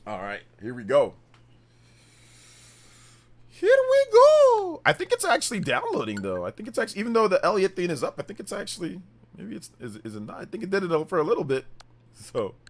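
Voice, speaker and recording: Lively-voiced man in his fifties. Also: sits high, brisk. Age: 50s. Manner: rambling, self-correcting, laughs often. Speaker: livestream host. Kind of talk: casual gaming livestream chat.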